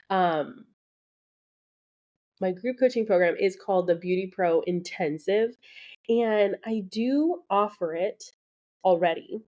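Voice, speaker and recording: A woman's voice: medium pitch, warm tone, dull audio. A woman hosting her own podcast as a beauty business coach, talking casually and encouragingly with plenty of ums, a little nasal and congested from allergies. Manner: chatty, encouraging, many ums. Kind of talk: casual solo podcast talk.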